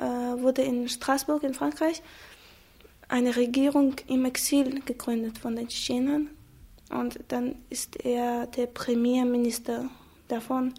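A young woman from Chechnya, a native Chechen speaker, giving a reflective, hesitant personal account with frequent pauses. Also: fairly high pitch, creaky, warm tone, breathy edge. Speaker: interviewee. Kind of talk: personal testimony.

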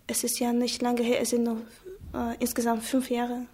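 A young woman from Chechnya, a native Chechen speaker, giving a reflective, hesitant personal account with frequent pauses. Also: on the high side, monotone, a little mellow, husky. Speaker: interviewee. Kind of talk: personal testimony.

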